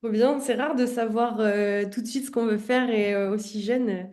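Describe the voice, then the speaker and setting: Woman, podcast host, somewhat high voice, friendly, enthusiastic reactions. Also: fairly even intonation, normal speed, darkish tone. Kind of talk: casual podcast interview.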